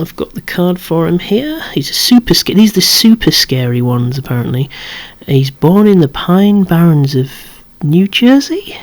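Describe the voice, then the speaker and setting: A person in a soft, breathy whisper, rambling hesitantly and correcting themselves while showing off a collection in ASMR style. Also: mid-range voice. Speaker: collector presenting a vintage toy collection. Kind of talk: whispered ASMR show-and-tell.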